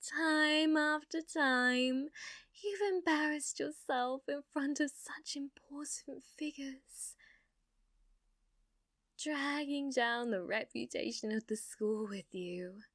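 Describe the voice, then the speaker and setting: A woman playing a sadistic, haughty young noblewoman villainess in an ASMR roleplay, taunting theatrically and often dropping into breathy whispers. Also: sits high, slow, fairly bright tone, dry recording, studio quality, full character voice. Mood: smug, cruel.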